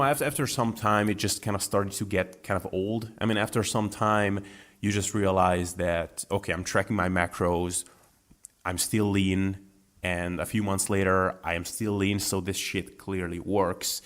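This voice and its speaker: A man who is a guest on a podcast, talking about his own experience in a relaxed, chatty way with fillers and restarts. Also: sits low, a little slow. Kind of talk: casual interview talk.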